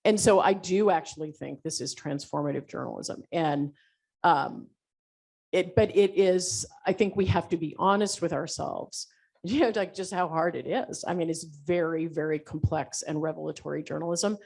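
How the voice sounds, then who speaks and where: A woman speaking as a panelist in a panel discussion, animated and rambling, with frequent fillers. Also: low voice, normal speed, vocal fry.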